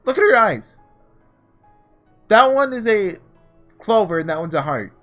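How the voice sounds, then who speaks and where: A man's voice: high voice, big pitch swings, unhurried, pushed and bright. A man doing casual let's-play commentary, thinking aloud as he reads through a visual novel. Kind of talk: casual gameplay commentary mixed with read-aloud dialogue. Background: background music.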